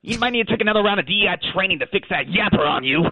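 A man's voice: a little high, wildly swooping pitch, no room sound. A man voicing a cheeky, touchy pet dog with an Australian accent, blustery and slangy, in a comic radio phone interview. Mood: indignant. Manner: blustery, slangy, quick to take offense then apologize. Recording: thin phone line.

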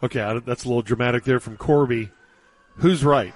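A man's voice: mid-range voice, a little fast. A man hosting a sports radio show, chatting casually with an easygoing, chuckling manner.

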